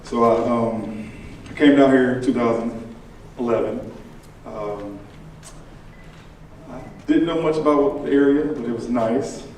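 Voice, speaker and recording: Relaxed man in his late twenties, echoey room. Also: medium pitch, a little slow, pauses often, recorded from a distance, slight background noise. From Illinois, USA. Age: late 20s. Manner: relaxed, conversational, frequent fillers. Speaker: contractor and aspiring entrepreneur. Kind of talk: casual personal pitch to a live audience. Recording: echoey room, picked up from afar.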